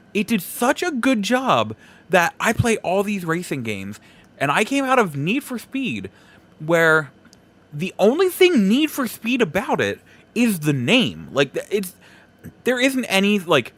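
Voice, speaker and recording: A man's voice: on the high side, a bit bright. A man hosting a solo podcast, giving opinions in an informal, conversational and emphatic way. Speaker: podcast host.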